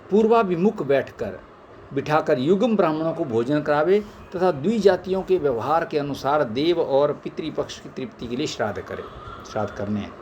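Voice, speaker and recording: A man's voice: on the high side, darkish tone, a little hiss or hum. A man reading scripture aloud in a formal way, phrase by phrase, in an amateur recording. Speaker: reader of scripture.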